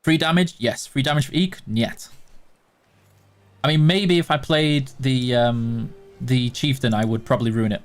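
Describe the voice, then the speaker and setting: A man's voice: medium pitch, normal speed, soft background music. A man with a Yorkshire accent, streaming a game and casually answering viewer questions in a chatty, self-deprecating way. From Yorkshire, England.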